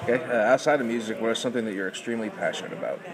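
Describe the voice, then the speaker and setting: An interviewer working briskly through prepared questions at an outdoor event. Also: mid-range voice, animated. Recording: crowd noise behind. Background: crowd chatter.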